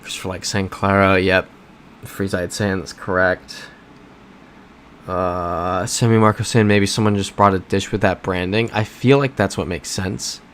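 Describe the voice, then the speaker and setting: American man, streamer, low-pitched voice, casual chat, reads out viewer messages, thinks aloud, faint background noise. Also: fairly even intonation, unhurried, fairly bright tone. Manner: reads chat messages aloud and reasons through map clues.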